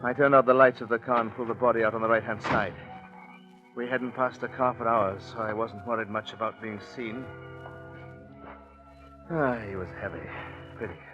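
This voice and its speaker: A man's voice: mid-range voice, fairly even intonation, a little fast, long pauses, lightly acted. Man acting glib radio murderer, muffled 1940s sound. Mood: tense, confessional. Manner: glib, chatty, confiding. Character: smooth-talking impostor and cold-blooded murderer narrating his crime. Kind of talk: 1940s radio suspense acting, confessional narration. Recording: dull 1940s radio recording with music.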